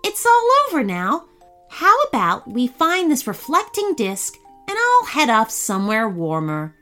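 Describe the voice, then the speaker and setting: A woman voicing a kind, curious little female pickle in a storybook reading, speaking gently and politely. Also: on the high side, huge pitch swings, unhurried, faint music behind, pitched above the performer's own voice, full character voice. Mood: reassuring.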